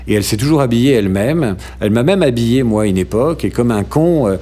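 A middle-aged man from France in an interview, talking thoughtfully with frequent "euh" pauses and restarts and some dry humor. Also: mid-range voice, expressive, dark tone. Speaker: interview guest. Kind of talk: hesitant conversational interview.

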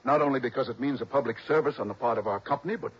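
A man's voice: mid-range voice, very fast, breathy edge, natural voice. An actor in a 1960s radio drama playing an earnest, worried insurance company man, businesslike and urgent. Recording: dull, noisy old radio recording.

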